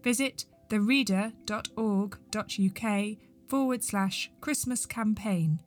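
A woman's voice: mid-range voice, slow pace. Woman presenting a podcast over music. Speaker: podcast presenter introducing a poetry series. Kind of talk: scripted narration.

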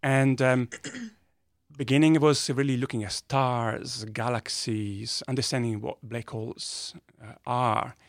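A man with an Italian accent, a native Italian speaker, explaining science in a conversational interview with enthusiasm and pauses between phrases. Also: medium pitch, fairly even intonation, dry recording, clean studio sound. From northern Italy. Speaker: guest physicist. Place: podcast studio.

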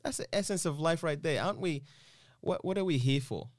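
A man's voice: fairly high pitch, expressive, fairly quick, dry recording, clean studio sound. A man from Uganda chatting casually as an interview guest, rambling and repeating himself with lots of "you know".

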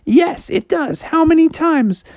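A woman's voice: sits high, expressive, measured pace, darkish tone, dry recording, pitched above the performer's own voice. A woman voicing a peppy, overbearing mother frog in a storybook, emphatic and sing-song, full of encouragement. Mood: patient explaining. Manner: sing-song, emphatic, pep-talk repetition.

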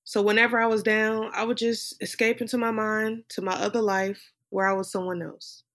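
A woman performing a film monologue as a wistful dreamer recalling lost hopes of stardom, in a reflective, drawn-out delivery. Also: mid-range voice, level tone, a bit bright, lightly acted. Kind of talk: dramatic film monologue reading.

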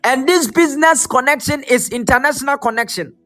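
A man preaching and prophesying, fervent and repetitive, his voice hoarse and partly lost. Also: very high-pitched, fairly quick, faint music behind. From Ghana. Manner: fervent, repetitive, shouted declarations. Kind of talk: prophetic sermon with prayer.

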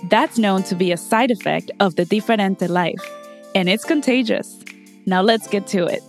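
A woman speaking as a podcast host, upbeat and expressive, addressing people directly in a conversational way. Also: mid-range voice, moderate pace, soft background music. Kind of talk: podcast intro and interview chat.

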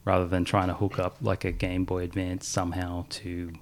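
A man's voice: low voice, completely flat delivery, moderate pace, slight background noise. A man co-hosting a podcast, rambling through casual chat about games and chuckling often. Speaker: podcast co-host.

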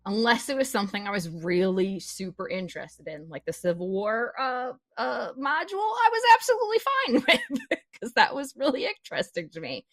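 A woman's voice: a little high, sing-song, moderate pace, few pauses, no echo, studio quality. A woman guesting on a podcast, chatting casually and laughing often. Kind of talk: casual chat.

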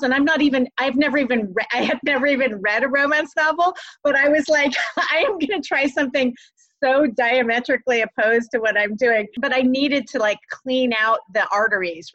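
A woman's voice: a little high, a bit bright, dry recording. A woman hosting an interview in an enthusiastic, gushing way, laughing easily. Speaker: podcast host. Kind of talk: casual interview chat. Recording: dull, dry lo-fi sound.

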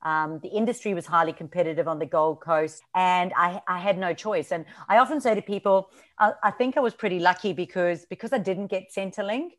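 A woman's voice: fairly low pitch, fairly quick. A woman from South Africa with a mixed South African and Australian accent, chatting as a podcast guest with frequent ums and laughs. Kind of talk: casual interview chat.

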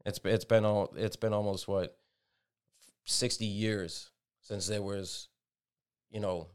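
A low-pitched American man in a casual podcast chat, quick to chime in with short agreements. He lets a curse slip now and then and sounds plainly conversational. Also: steady tone, smooth. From United States. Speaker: podcast co-host.

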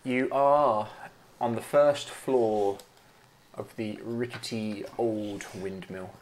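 A man running a tabletop game as game master, laughing often and dropping to a slow, hushed delivery for dark narration. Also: fairly low pitch, fairly even intonation, a little hiss or hum.

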